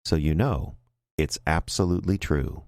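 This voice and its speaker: A man's voice: rumbling low, moderate pace, gravelly, a little mellow, no room sound, clean studio sound. A man acting as a folksy storyteller, narrating an audio drama directly to listeners. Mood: folksy, wistful. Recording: music behind.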